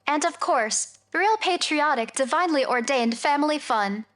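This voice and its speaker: A woman's voice: sits high, animated, moderate pace, fairly bright tone. A woman playing a theatrical trailer announcer for a radio play, punchy and grand. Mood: grand, promotional. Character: dramatic female trailer announcer.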